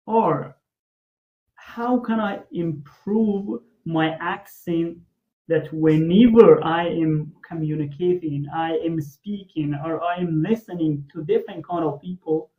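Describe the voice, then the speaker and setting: Farsi-native host asking long rambly questions in english, higher voice, muffled audio. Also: male voice. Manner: long, searching questions with fillers. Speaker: interview host.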